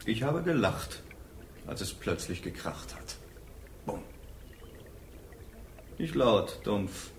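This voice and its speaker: An actor in a radio drama playing a dead soldier who bitterly recounts his end in terse, halting fragments. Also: on the low side, very animated, full character voice. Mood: grim, matter-of-fact. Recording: roomy echo with faint noise.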